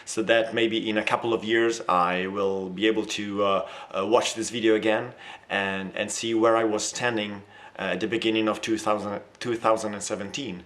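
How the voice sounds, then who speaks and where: A man's voice: on the low side, level tone, moderate pace, fairly bright tone. A man in his thirties with an Italian accent, talking to camera in a hesitant way with many fillers and restarts. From Italy. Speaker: language teacher and polyglot. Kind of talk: casual talk to camera.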